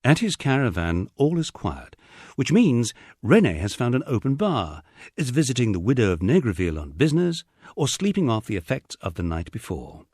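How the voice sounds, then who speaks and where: A man's voice: on the low side, darkish tone, no echo, studio quality. A man reading a humorous memoir as an audiobook, with a wry storytelling delivery. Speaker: audiobook narrator. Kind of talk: scripted narration of a humorous memoir.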